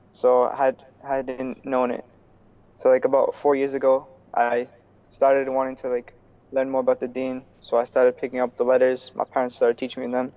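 A young man from New York, a student guest in a casual video-call interview, talking in a relaxed way with many fillers and restarts. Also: medium pitch, clear, resonant tone. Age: young adult. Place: small room indoors. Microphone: earphone headset on video call. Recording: slightly dull video call audio.

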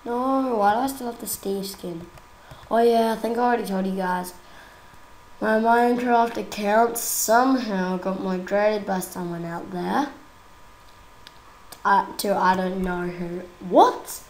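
A young woman with a croaky voice from a cold, chatting casually and giggling while she plays a game. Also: medium pitch, fairly even intonation, unhurried, darkish tone, reverberant, slight background noise, low-quality recording. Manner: chatty, giggly, easily exasperated. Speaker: gaming YouTuber. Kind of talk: casual gameplay commentary.

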